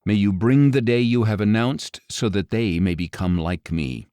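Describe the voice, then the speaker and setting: A man reading scripture as an audiobook narrator in a solemn, grave, measured delivery with deliberate pauses. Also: fairly low pitch, warm tone, smooth, no echo, clean studio sound.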